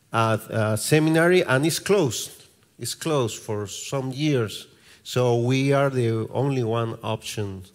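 A middle-aged pastor from Peru talks in a strong Peruvian Spanish accent, speaking slowly and stopping often to find his words. His voice sits at an ordinary pitch for a man. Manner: halting, searching for words. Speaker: guest pastor. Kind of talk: church interview.

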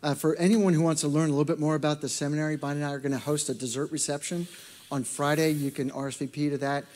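A man's voice: a little high, flat delivery, quick pace, darkish tone. A man serving as church pastor, introducing a guest preacher and asking him questions, with an affirming manner. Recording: long church-hall reverb.

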